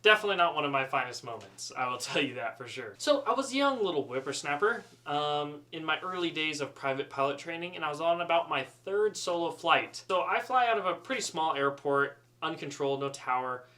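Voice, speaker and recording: Male, slightly higher pitch, very bright tone, animated, chatty, casual humorous storytelling, self-deprecating. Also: fairly quick, hardly any pauses. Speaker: student pilot storyteller.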